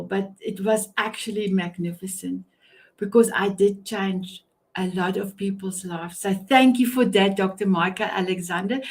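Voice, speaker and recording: A woman's voice: mid-range voice, rough recording. A woman with a South African accent and Afrikaans as her first language, hosting an online panel conversation in an effusive, complimentary way. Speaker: host of an online talk show.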